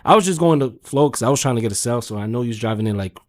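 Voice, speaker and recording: A man's voice: medium pitch, expressive, very fast. A man speaking as a podcast guest and car salesman, telling casual, slangy anecdotes and acting out quotes.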